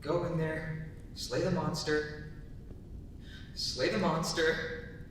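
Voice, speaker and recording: Young man acting nervous hero, bright echoey voice. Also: steady tone. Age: young adult. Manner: halting, self-coaxing. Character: earnest, nervous, scrawny young would-be hero Perseus. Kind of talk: live stage dramatic reading. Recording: echoey hall from far off, rumble.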